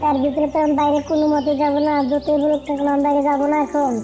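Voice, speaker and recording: A woman as a high-pitched, fussy cartoon mother bird. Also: completely flat delivery, rapid-fire, dark tone, natural voice. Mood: whining. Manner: complaining, scolding. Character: fussy, scolding female cartoon birds, mothers and neighbours. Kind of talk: cartoon story dialogue. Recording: music behind the voice.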